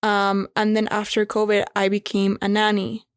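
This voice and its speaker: A young woman from Venezuela with a slight Venezuelan Spanish accent, talking as a podcast guest in a relaxed, chatty way and leaning on "like" as a filler. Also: medium pitch, normal speed, smooth, no room sound, studio quality. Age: young adult. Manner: chatty, heavy use of "like" fillers. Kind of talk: casual conversational interview.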